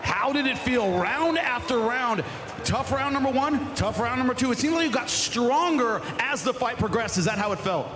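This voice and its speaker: A man's voice: extremely high pitch, lively intonation, normal speed. A man speaking as a live fight commentator and interviewer, in a projected, emphatic voice. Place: crowded arena. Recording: loud arena noise and roaring crowd.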